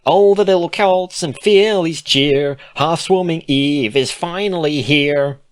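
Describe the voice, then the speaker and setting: A man voicing an excitable, teasing female pony in a squealing, singsong, exclamatory manner. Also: a little high, slow, clear, resonant tone, voice pushed up.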